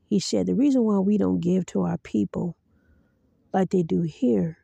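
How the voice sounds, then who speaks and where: Podcast host, female, earnest and preachy, very dark gravelly voice, dry close sound. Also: mid-range voice, normal speed, dry recording. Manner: earnest, preachy, speaks to listeners directly. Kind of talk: solo commentary.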